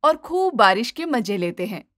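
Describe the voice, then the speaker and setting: A woman's voice: on the high side, sing-song, moderate pace, a bit bright, no echo. Woman storyteller, dry close sound. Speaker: narrator. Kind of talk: scripted moral-story narration.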